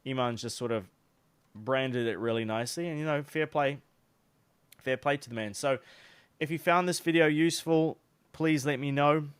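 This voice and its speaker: A man's voice: medium pitch, normal speed, long pauses, clean tone, dry recording. A young man with a New Zealand accent giving casual advice to camera in a loose, chatty way, with lots of fillers and restarts. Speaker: online business coach.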